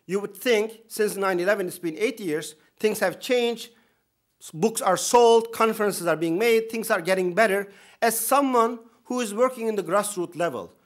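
A man in his thirties with a Turkish accent, an imam giving earnest, emphatic opening remarks with dry humor at a conference podium in a lecture hall. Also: high-pitched. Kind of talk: conference speech. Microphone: podium microphone.

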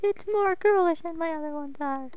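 A man's voice: normal speed, far from the mic. A man voicing a girlish female character at the top of his range. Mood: sweet. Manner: as high as he can go, girlish. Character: sweet little girlish female voice. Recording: muffled lo-fi home mic.

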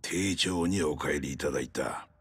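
Husky, low-voiced, hard-boiled detective. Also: male voice, unhurried, fairly bright tone, no echo, exaggerated voice. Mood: matter-of-fact. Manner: blunt, rough masculine speech. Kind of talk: dramatic video game cutscene acting.

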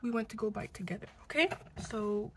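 A sick young woman in her twenties, hoarse and congested, chatting casually to a close camera at home, cursing and coughing now and then. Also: mid-range voice, brisk, fairly bright tone, slight background noise. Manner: chatty, swearing, coughs between lines. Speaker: vlogger. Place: living room at home. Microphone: camera close to face.

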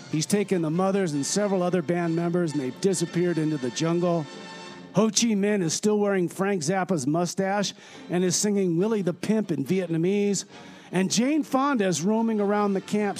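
Man as a breezy field reporter in a radio comedy, high voice, faint music underneath. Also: a little mellow. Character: cheerful man reporter stranded in a 1968 jungle. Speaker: comedy sketch narrator.

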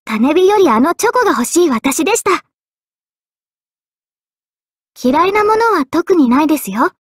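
High-voiced earnest young mage girl. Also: fairly quick, dry recording, studio quality. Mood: bright, modest. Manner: polite, expressive, shifting between excited and subdued. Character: young female mage, earnest, polite, quietly self-deprecating. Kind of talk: anime game character voice lines.

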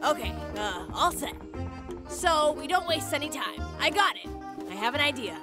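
A woman voicing a helpful, excitable young boy tiger cub in a children's cartoon, earnest and peacemaking. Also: high voice, moderate pace, over music. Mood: hesitant, helpful. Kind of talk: dubbed children's cartoon acting.

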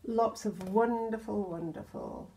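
A British woman in her sixties reads a children's picture book aloud, expressively, with gentle asides addressed to the children. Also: medium pitch, wildly swooping pitch, a little slow, vocal fry. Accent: British English. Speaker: storyteller, infant school teacher. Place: indoors in a home hallway.

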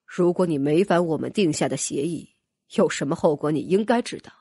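A man's voice: on the high side, a little fast, mellow, husky, no echo, clean studio sound. An actor playing a ruthless young nobleman in a fantasy audiobook, giving orders in a cold, commanding, threatening manner. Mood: cold, menacing. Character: ruthless young nobleman plotting to kill his brothers. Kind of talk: audiobook character acting.

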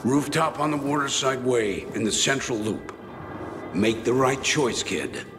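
An actor playing a gruff, stubborn middle-aged father in a video game, blunt and insistent, with a gravelly voice. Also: mid-range voice, expressive, unhurried, over music, lightly acted. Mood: grave, urging. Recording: game audio under background noise.

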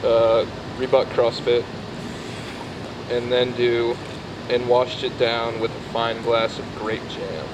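A man's voice: mid-range voice, flat delivery, slow. A man filming at an outdoor pool, talking in a dry, teasing way. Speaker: camera operator. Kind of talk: casual chat off camera. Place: outdoor swimming pool, behind the camera. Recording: outdoor noise.

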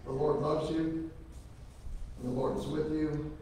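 Earnest pastor in an echoey church. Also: male voice, fairly high pitch, little pitch movement, unhurried, mellow, distant mic, a little hiss or hum. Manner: earnest preaching with folksy storytelling. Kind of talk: funeral sermon. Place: large church sanctuary. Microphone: lectern microphone from a far camera.

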